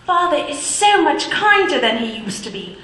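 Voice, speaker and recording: A woman doing an excited little girl. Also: sits high, normal speed. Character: eager little girl, loving sister. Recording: echoey.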